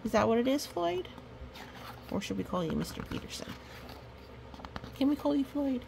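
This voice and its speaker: A woman's voice: sits high, big pitch swings, moderate pace, vocal fry, darkish tone, slight background noise. A woman hosting a chatty picture-book storytime, with playful, rambling asides. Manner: playful, rambling asides with little sung bits and hums. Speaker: storytime reader and host.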